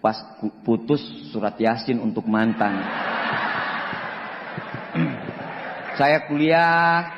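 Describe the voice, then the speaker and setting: A man's voice: medium pitch, lively intonation, extremely slow, pauses often, noisy background. A man in his forties from Central Sulawesi giving a joking, boastful motivational talk to an audience in a hall. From Central Sulawesi, Indonesia. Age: 40s. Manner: joking, boastful, emphatic, with rising pushes. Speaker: motivational speaker. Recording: echoey hall, dull lo-fi sound.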